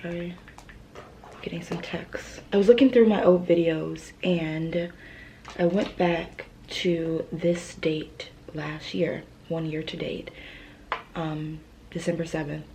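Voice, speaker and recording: A young woman talks in a low, mellow voice, soft and hesitant, often sinking to a whisper. She sounds grieving and close to tears, with pauses and fillers running through her speech. Faint noise hums in the background. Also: steady tone. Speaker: vlogger. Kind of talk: emotional personal vlog.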